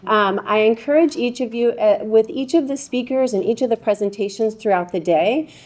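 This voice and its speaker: Woman moderator with frequent ums. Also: mid-range voice. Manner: warm-up remarks with frequent ums. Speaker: panel moderator. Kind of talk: conference panel moderation.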